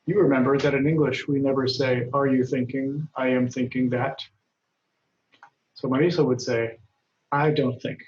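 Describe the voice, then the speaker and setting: A man teaching an English grammar lesson, speaking slowly and deliberately, and raising his voice when he reads example dialogues aloud. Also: mid-range voice, fairly even intonation, a little mellow. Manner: patient, deliberate, lifts his voice when reading quoted lines. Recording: dull, roomy sound from a distance.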